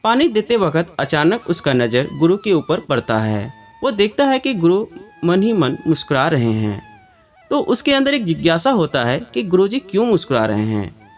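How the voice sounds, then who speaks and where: A man's voice: on the high side, big pitch swings, moderate pace. A man narrating a scripted moral story as a storyteller. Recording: background music under the voice. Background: music.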